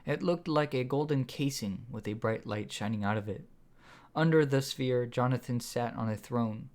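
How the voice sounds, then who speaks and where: A man narrates a scripted story for an audiobook. His voice sits at a medium pitch as he reads his own writing. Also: moderate pace, a little mellow. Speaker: author reading own audiobook.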